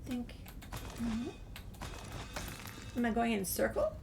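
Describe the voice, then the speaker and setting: Lady gamer chatting to herself while playing, really slow, highish voice, noisy with keyboard clicks. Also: big pitch swings. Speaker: gamer narrating a playthrough. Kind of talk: casual gameplay commentary, thinking aloud. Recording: clear background noise, keyboard typing.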